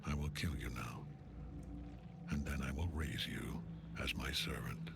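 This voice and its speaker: An actor playing a grim old general and death cultist in a video game, solemn, menacing and grandiose. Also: male voice, a bass voice, steady tone, unhurried, creaky, heavy background noise, heavily acted. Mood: cold, menacing. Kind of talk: dramatic video game villain acting. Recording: cinematic music behind the voice.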